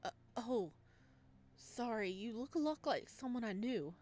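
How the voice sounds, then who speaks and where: A woman's voice: on the high side, expressive, a little slow, a little mellow. Woman reading as an awkward young college guy. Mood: awkward, apologetic. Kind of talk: visual novel character read-aloud.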